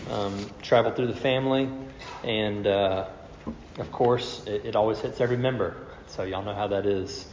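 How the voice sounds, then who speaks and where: A man's voice: lowish voice, fairly even intonation, moderate pace, noisy background. A man, a pastor getting over a cold, teaching through scripture step by step in an explanatory sermon. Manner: explanatory, step-by-step, rhetorical questions. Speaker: pastor preaching. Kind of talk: expository Bible-teaching sermon. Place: large reverberant room, preaching to congregation. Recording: echoey hall reverb, slightly dull sound.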